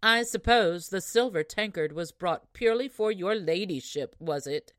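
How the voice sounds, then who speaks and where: A woman's voice: on the low side, animated, normal speed, no room sound, studio quality, natural voice. A woman voicing a proud, rude young woman from a fairy tale who answers with sarcasm. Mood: sneering. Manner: sneering, sarcastic.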